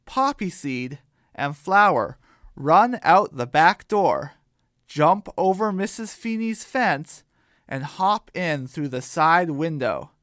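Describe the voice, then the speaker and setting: Guy narrating a silly audio story, kinda high voice, really unhurried, dry recording. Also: lively intonation, fairly bright tone, no echo.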